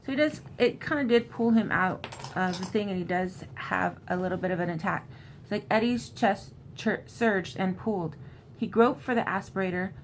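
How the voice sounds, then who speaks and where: Woman doing a casual book recap n reading bits out, normal pitch, some background noise. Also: moderate pace. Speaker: host recapping a horror novel. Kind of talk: casual book recap with passages read aloud.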